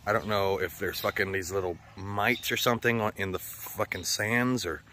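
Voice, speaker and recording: A man's voice: on the low side, fairly even intonation, normal speed, pushed and bright, a little hiss or hum. An American man with a slightly sore throat, rambling casually and swearing often as he chats for a vlog. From United States. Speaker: vlogger. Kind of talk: casual chat.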